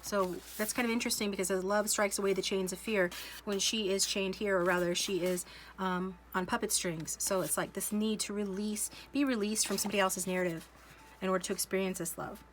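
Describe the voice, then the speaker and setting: A woman reads tarot cards in a casual, wandering way, full of ums and restarts. She has a slight cold and sniffles now and then. Her pitch sits in a middle range, and a faint hiss runs under the recording. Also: steady tone. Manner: slow, musing, many fillers and restarts. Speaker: tarot reader. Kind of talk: casual tarot reading to camera.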